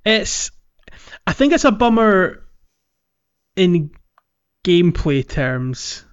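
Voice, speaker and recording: Higher-pitched man chatting on a gaming podcast. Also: very animated, slow pace, clear, resonant tone, no echo. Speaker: podcast co-host. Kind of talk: casual gaming podcast discussion. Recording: dull, lo-fi, music behind.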